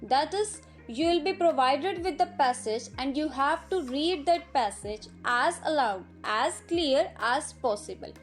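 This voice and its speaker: A woman with a notably high voice narrates a scripted lesson as an instructor. She explains things step by step, with faint music playing underneath. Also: expressive, a little slow, few pauses.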